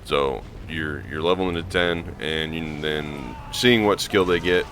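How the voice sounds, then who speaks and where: Hoarse, gravelly low-voiced man. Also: a little slow, fairly bright tone, slight background noise. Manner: casual, meandering, lots of ums and restarts. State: hoarse from allergies. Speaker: gaming channel host. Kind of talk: rambling gameplay commentary.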